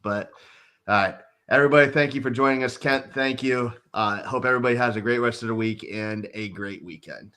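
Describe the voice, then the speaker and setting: A man hosting a podcast talks in a bright, mid-pitched voice. He chats casually and thanks his guest and listeners in a friendly way. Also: brisk, clear, resonant tone. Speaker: podcast host. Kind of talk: casual chat, show sign-off.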